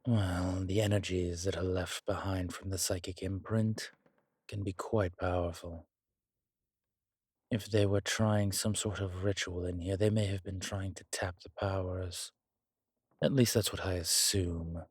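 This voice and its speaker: Man acting as a husky, deep-voiced, unhurried immortal demonologist. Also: level tone, no room sound, clean studio sound. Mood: grave, explaining. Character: long-lived male demonologist professor, calm, wry, protective. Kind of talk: slow intimate audio roleplay acting.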